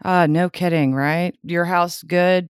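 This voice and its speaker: A woman's voice: fairly low pitch, fairly even intonation, slow pace, clean tone, dry recording, studio quality. A woman hosting an interview podcast, friendly and laughing along with her guest. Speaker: podcast host. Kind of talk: casual interview chat.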